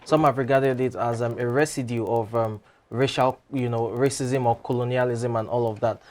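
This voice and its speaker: A man sits in as a guest and comments in a measured way. He fills his pauses with "you know" and "um" as he builds his argument. His voice is in a middle pitch for a man. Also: steady tone, darkish tone. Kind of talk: studio talk show commentary.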